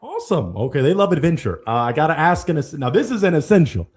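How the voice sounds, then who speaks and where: Animated husky-voiced male host. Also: a little high, quick pace. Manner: playful, animated, addresses guests as kind sir. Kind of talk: live dating show hosting.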